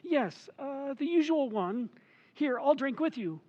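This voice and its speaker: An actor playing a henpecked, scheming husband in an old-time radio horror play, falsely sweet, anxious and stammering. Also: male voice, very high voice, lively intonation, a little slow, a little mellow, dull audio. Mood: coaxing.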